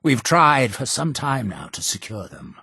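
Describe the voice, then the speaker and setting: An actor playing a zealous, imperious male cult leader in a video game, speaking in solemn, deliberate, preacher-like oratory with a gravelly, husky voice. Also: mid-range voice, big pitch swings, sharp tone, no echo, studio quality, exaggerated voice. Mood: grave, bitter. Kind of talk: video game character dialogue.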